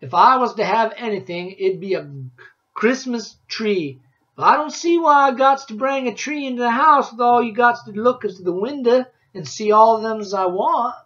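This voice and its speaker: A man voicing a cheerful old backwoods woodsman with loud, drawling hillbilly speech, higher than his own voice. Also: moderate pace. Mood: boastful. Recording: dull lo-fi audio.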